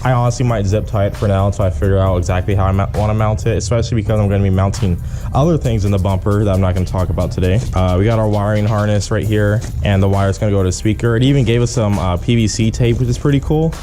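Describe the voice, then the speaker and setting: A man's voice: fairly low pitch, steady tone, quick pace, over music. A man talking in a casual, laid-back, slangy way as a car vlogger explaining a hands-on job. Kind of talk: casual how-to chat.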